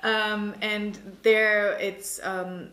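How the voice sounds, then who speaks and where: A woman in her thirties being interviewed as a winemaker, explaining informally and pausing to think as she goes. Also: medium pitch, level tone, bright, forceful tone. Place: indoor tasting room with wooden table. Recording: roomy, echoing indoor sound.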